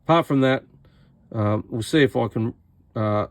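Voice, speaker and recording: A man's voice: mid-range voice, normal speed, dry recording. An Australian man giving casual, hands-on commentary as he inspects equipment, speaking in a blunt, sarcastic way. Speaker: radio repair hobbyist presenting a video.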